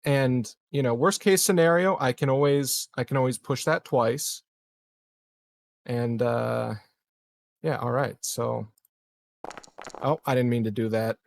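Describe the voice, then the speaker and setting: A man playing a tabletop roleplaying game, chatting casually and hesitantly as he thinks out loud. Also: mid-range voice, unhurried, gravelly, pauses often.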